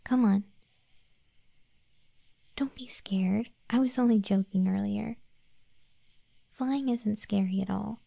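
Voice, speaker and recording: A woman playing a protective gargoyle monster girl in an ASMR roleplay, speaking softly and breathily, close to the microphone. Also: mid-range voice, slow, long pauses, no room sound, exaggerated voice. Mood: friendly, helpful. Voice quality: soft, breathy, whispery. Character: protective gargoyle monster girl guard, stern then sweetly deferential. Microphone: close microphone, dry.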